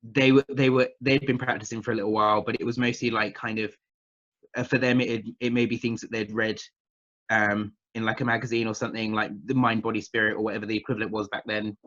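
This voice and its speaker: A man's voice: on the low side, little pitch movement, fast, a bit bright, highs cut off. A man in his thirties with a southern English accent, chatting casually as an interview guest in a rambling, hesitant way. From England, near London. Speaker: interview guest, witchcraft practitioner.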